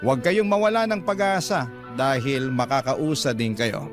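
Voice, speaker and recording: Actor as a sincere letter-writer over music. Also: male voice, mid-range voice, normal speed, natural voice. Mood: grateful, hopeful. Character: sincere family man narrating his own letter. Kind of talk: dramatic first-person radio narration. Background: clear music bed.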